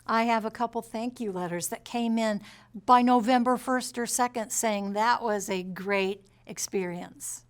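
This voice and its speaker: A woman's voice: fairly high pitch, unhurried. A woman casually sharing recollections in an interview.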